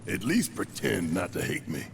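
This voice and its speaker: A man's voice: sits low, sing-song, moderate pace, creaky, slight background noise, exaggerated voice. A man playing a cool, reluctant young mercenary in a video game, with a dry, sarcastic manner. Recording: loud music under the voice. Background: loud game music.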